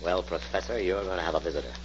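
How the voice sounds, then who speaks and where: An actor playing a confident, businesslike crime-fighter in a 1940s radio drama. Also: male voice, low voice, very fast, natural voice. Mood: resolute. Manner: assured, businesslike. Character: confident, brisk male crime-fighting hero. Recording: muffled, noisy old radio recording.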